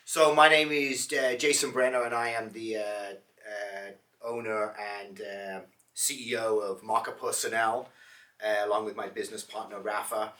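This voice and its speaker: Deadpan Scottish man, bright roomy sound. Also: fairly low pitch, normal speed, distant mic. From Scotland. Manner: dry, deadpan joking. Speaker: podcast co-host, shop owner. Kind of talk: casual podcast banter.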